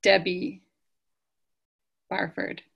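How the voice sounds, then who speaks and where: Woman host on a video call, unhurried and bright, reads names and nudges people to unmute. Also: mid-range voice, flat delivery, gravelly, husky, muffled recording. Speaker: meeting host. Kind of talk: calling roll on a video call.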